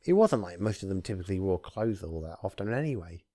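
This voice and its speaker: A man who hosts readings of fan fiction, talking casually and narrating with theatrical, deliberate phrasing. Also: low-pitched, sing-song, mellow, dry recording, clean studio sound. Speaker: fan fiction reader, channel host. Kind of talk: casual intro, then narrated story reading.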